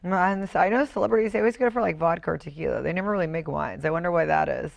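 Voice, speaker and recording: A woman hosting a casual interview, reacting with quick enthusiastic exclamations and questions. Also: fairly low pitch, creaky. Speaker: host.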